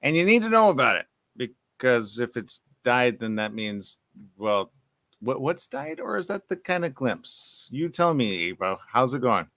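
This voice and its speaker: A man's voice: medium pitch, very animated, unhurried, vocal fry, fairly bright tone, no room sound. A man hosting a casual online interview, chatty and enthusiastic, asking rambling questions. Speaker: interview host. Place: online video call.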